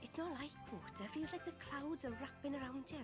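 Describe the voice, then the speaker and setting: A woman playing a feisty, sharp-tongued young girl in a video game, brash and exasperated. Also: sits high, fairly quick, over music, natural voice. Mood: indignant. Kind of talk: video game cutscene acting.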